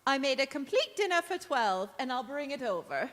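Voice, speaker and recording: A woman acts a martyred older mother-in-law in a radio sitcom. She is high-pitched, prim and sugary, heard in a reverberant hall. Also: sing-song, normal speed, a bit bright. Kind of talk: old-time radio sitcom acting, live on stage. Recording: echoey hall, live stage performance.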